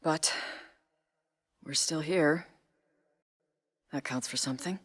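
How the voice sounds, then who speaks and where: A woman's voice: deep voice, slow, breathy edge, heavily acted. An actress playing a female military operative in a video game, briefing her squad with clipped, instructive delivery. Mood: wry, subdued. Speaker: mission briefer and incursion leader.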